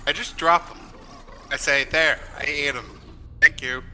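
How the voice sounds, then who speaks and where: Very bright, sharp-voiced man, game player. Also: on the high side, fairly even intonation, a little slow, a little hiss or hum. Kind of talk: casual chat.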